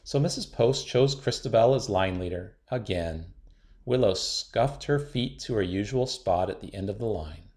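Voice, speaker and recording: A man with a fairly low voice reading a children's picture book aloud. He tells the story gently and expressively, at an unhurried pace. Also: lively intonation. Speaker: storybook reader.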